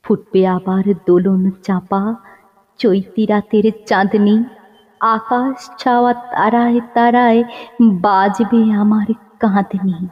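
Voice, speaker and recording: A woman giving an expressive, dramatic poetry recitation in measured phrases with pauses and swells. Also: medium pitch. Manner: expressive, pausing, swelling and dropping to hushed refrains. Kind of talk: dramatic Bengali poem recitation, slow and measured. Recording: dull lo-fi sound, long reverb.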